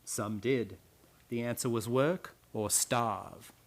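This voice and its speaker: A man's voice: medium pitch, slow. Man acting a grim, blunt island retainer. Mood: bitter, grave. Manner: rustic, archaic phrasing with "aye" and "'twas". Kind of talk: radio play acting.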